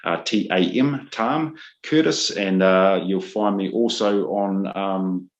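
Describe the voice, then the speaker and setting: Casting: middle-aged New Zealand man, very low voice, earnest mentor tone, halting with fillers. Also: muffled recording. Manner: earnest, searching for words with many ums and uhs. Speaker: guest, men's leadership mentor. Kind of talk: podcast interview.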